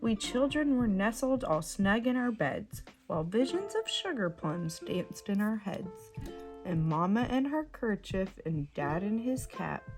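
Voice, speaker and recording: A woman reading a children's storybook aloud in an expressive, sing-song verse delivery. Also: medium pitch, measured pace, rough recording. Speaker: bedtime story reader. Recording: music playing behind the voice. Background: plucked guitar music.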